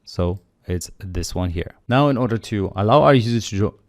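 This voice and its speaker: Low-voiced man explaining code, dry sound. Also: normal speed, no room sound. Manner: steady explanatory, thinking aloud while typing. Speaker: coding tutorial instructor. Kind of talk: screen-recorded coding walkthrough.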